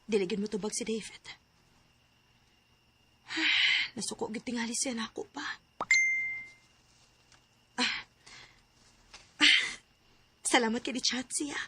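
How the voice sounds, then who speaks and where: A woman's voice: medium pitch, noisy background. An actress playing a jealous, heartbroken young woman in a radio drama, emotional and pleading.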